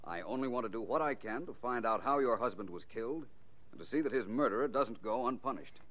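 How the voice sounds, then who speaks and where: An American actor playing a wry, self-assured private detective in a 1940s radio drama, speaking formally and articulately. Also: male voice, medium pitch, animated, fast, natural voice. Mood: cool, probing. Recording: dull, lo-fi 1940s radio recording.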